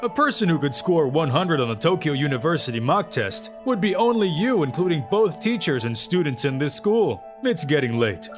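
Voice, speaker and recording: A man's voice: a little high, sing-song, moderate pace, hardly any pauses, music in the background. A man voicing a smarmy, ingratiating middle-aged male vice principal in a manga dub. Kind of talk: amateur manga dub acting.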